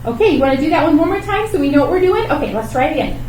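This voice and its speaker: A woman's voice: sits high, lively intonation, rapid-fire, darkish tone. A woman hosting a children's storytime, talking in simple, encouraging phrases as she reads and teaches rhymes. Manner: simple, encouraging talk addressed to small children. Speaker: library storytime host. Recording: roomy, distant microphone with background noise.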